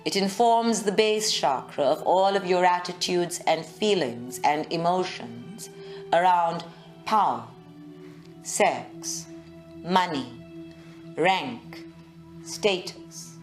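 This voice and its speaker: A woman guiding a live meditation in a measured, soothing way, with long pauses. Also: fairly low pitch, animated, soft background music. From South Africa. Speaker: meditation guide. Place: group meditation session at a centre, recorded live.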